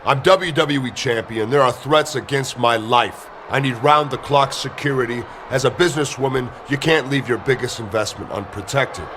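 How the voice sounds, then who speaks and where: Over noisy ambience comes a man as a self-important villain wrestler in a game cutscene. His mid-pitched voice is demanding and indignant, the sound of a champion convinced he is owed protection. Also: normal speed, hardly any pauses, lightly acted. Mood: agitated, demanding. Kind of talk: scripted game cutscene dialogue.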